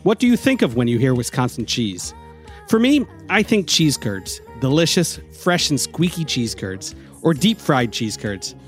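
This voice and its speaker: A man's voice: medium pitch, sing-song, moderate pace. A man reading a scripted sponsor message in an upbeat way. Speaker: sponsor announcer. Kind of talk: scripted ad read. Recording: music bed under the voice. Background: faint guitar music.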